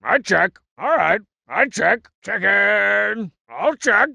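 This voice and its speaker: A man voicing a cocky, juvenile, short-tempered masked cartoon villain for a video game, gravelly and raspy, with boastful, mocking, slangy delivery. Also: sits high, big pitch swings, takes it slowly, bright, forceful tone, no echo, muffled recording, heavily acted. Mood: matter-of-fact. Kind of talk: video game character barks.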